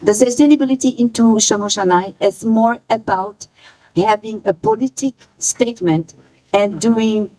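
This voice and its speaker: A woman speaking on a panel about the fashion brand she founded, halting and emotional, often searching for her words. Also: fairly high pitch, mellow, rough recording. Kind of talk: panel talk.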